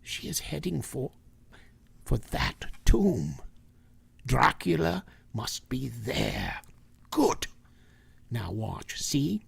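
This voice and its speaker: An actor playing a grave, commanding older professor who hunts vampires in a vintage radio drama, speaking urgently and deliberately. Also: male voice, medium pitch, wildly swooping pitch, creaky, husky, exaggerated voice.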